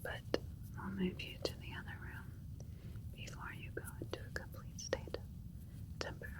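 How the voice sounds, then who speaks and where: A woman playing a gentle, soothing alien doctor in an ASMR roleplay, speaking in a breathy whisper with a clinical manner. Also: moderate pace, close to an everyday voice.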